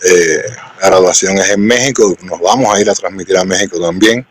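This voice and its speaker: A man from Cuba with a Cuban accent, hosting a live-stream interview in an earnest, unhurried way. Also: sits low, slightly rough. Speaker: host.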